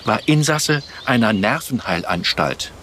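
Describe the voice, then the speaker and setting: An actor playing a dutiful, courteous aide to an exiled emperor in a radio drama, formal, earnest and restrained in manner. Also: male voice, medium pitch, lively intonation, unhurried, fairly bright tone, a little hiss or hum. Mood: worried. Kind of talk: naturalistic radio drama dialogue.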